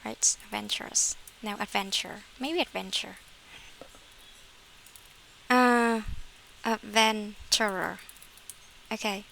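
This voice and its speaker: A woman's voice: medium pitch, steady tone, slow pace, gravelly, pauses often, bright, forceful tone, slight background noise, rough recording. A woman, an English teacher leading a class conversation and correcting her students' phrasing. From Vietnam.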